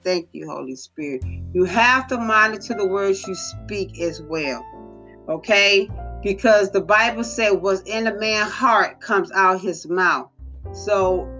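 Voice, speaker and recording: A woman delivers an informal sermon for her devotional podcast, earnest and exhorting, leaning on repetition to drive points home. Her voice is bright and forceful, though a little hoarse, set at a middle pitch. A soft guitar plays faintly underneath. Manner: earnest, exhorting, repeats phrases for emphasis. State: slightly hoarse, recovering voice. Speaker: podcast host giving devotional teaching.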